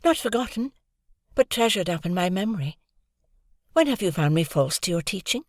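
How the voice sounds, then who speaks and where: A woman voicing a proud, composed young woman who speaks in a measured, cool way, in an audiobook reading. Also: fairly low pitch, sing-song, dry recording, studio quality, pitched above the performer's own voice. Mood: defiant. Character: cold proud young woman, calm and reasoning.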